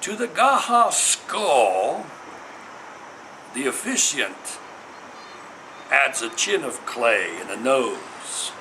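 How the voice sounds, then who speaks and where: Declamatory man reading poetry over loud noise. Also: medium pitch, big pitch swings, drawn-out words, pauses often, poor audio. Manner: declamatory, theatrical, emphatic. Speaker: poet reading own work. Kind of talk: dramatic poetry reading, incantatory.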